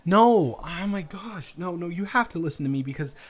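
A man acting an earnest, reassuring young suitor on a date in an improvised romantic audio roleplay, speaking haltingly with stammers. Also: high-pitched, animated. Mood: flustered, insistent.

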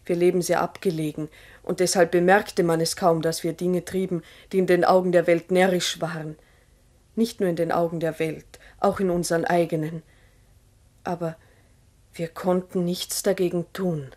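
An actress playing a farmer's wife who earnestly recounts strange happenings in a measured, literary 1960s German radio play monologue. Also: female voice, fairly low pitch, flat delivery, darkish tone, close to an everyday voice. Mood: wondering, earnest. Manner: deliberate, literary, earnest storytelling.

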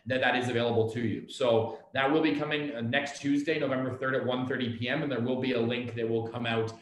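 A man's voice: mid-range voice, fairly even intonation, fast talker, slight background noise. Man speaking in a very echoey room. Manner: earnest, repeats words, restarts often. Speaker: office staff presenting an update. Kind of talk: casual meeting presentation. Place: office meeting room. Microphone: laptop camera on video call, seated back from it.

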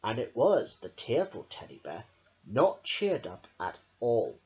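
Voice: medium pitch, wildly swooping pitch, unhurried, a little mellow. A storyteller reading a children's picture book aloud dramatically, stumbling over words now and then. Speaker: storybook reader. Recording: dull lo-fi camera audio.

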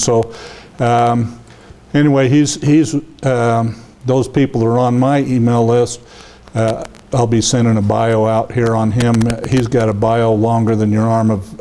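A man's voice: mid-range voice, slight background noise. Folksy older man giving a rambling talk. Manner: rambling, folksy, many fillers and restarts; says he has a loud voice. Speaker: guest speaker. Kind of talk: informal public lecture. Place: library meeting room with a seated audience. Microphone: lapel mic, walks around the room.

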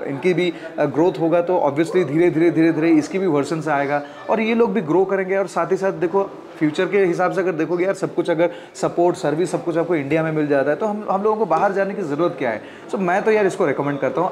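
Indian male reviewer, thirties, slightly high voice, casual and explanatory, talking to viewers like friends. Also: a little mellow. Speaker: video host and product reviewer. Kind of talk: casual product review chat, mixing in English. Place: indoors at a wooden table. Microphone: clip-on wireless lapel mic.

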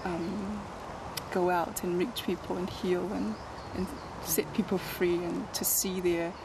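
A young woman from Taiwan, raised in New Zealand, answering interview questions hesitantly, with many pauses, on a handheld mic outdoors. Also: fairly low pitch, flat delivery, background noise. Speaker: student guest. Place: outdoors in a garden by a stone building.

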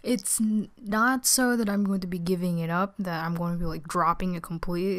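A teenage girl with a naturally low voice, tired and subdued, giving a casual, rambling, hesitant update as a vlogger. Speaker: video channel creator.

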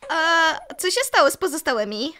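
A woman playing a perky, cheeky young superhero sidekick in a comedy fan dub, chirpy and animated. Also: moderate pace, full character voice. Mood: questioning. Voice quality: very high, bright.

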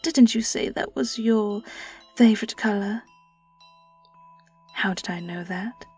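Woman playing female vampire, British accent, silky, vengeful, mocking, theatrical, unhurried, distant from the mic, horror audio roleplay. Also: medium pitch, darkish tone. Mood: teasing, predatory. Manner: taunting, theatrical, slipping into breathy whispers. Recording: roomy, distant, music behind.

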